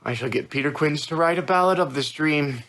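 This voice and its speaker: A man acting a bewildered, vain simple craftsman in a Shakespearean stage monologue, halting in broken phrases of wonder. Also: fairly high pitch, fairly bright tone. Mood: grand, delighted.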